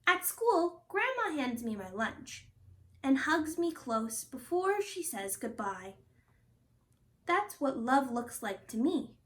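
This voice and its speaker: Animated, high-voiced woman reading a storybook. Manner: expressive, deliberate phrasing for young listeners. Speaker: storytime host and reader. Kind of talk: children's picture book read-aloud.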